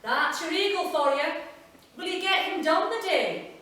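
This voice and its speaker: A woman's voice: extremely high pitch, expressive, unhurried, sharp tone, voice pushed up, full character voice. A woman voicing a friendly, teasing old village man. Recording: echoey live room from far off.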